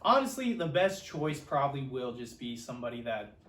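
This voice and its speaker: A man's voice: mid-range voice, big pitch swings, a little fast, a bit bright, distant mic. A man co-hosting a podcast, giving rambling, casual opinions on films. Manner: rambling, peppered with "like" and "you know". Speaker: podcast co-host. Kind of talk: casual film discussion. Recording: echoey room, off-mic, faint noise.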